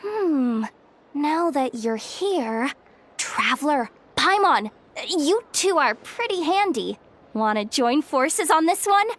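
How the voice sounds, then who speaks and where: A woman's voice: high-pitched, big pitch swings, slow pace, slight background noise, exaggerated voice. An actress playing a breezy, self-assured, streetwise young woman in a video game, talking in a casual, teasing, slangy way. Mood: teasing, laid-back. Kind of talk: video game character acting.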